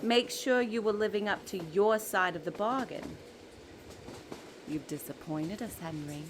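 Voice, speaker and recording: Woman playing a slow, smug magical villain. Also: medium pitch, background noise, close to an everyday voice. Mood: coldly taunting. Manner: mocking, silky, taunting. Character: smug villainous magical creature bound to an evil hat. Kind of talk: audio drama acting.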